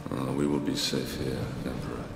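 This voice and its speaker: Game casting: grave Roman soldier, gravel-deep and solemn, measured storyteller. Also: male voice, little pitch movement. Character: grave battle-worn Roman soldier recounting his past. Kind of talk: cinematic game dialogue, storytelling. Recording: long hall reverb, music behind.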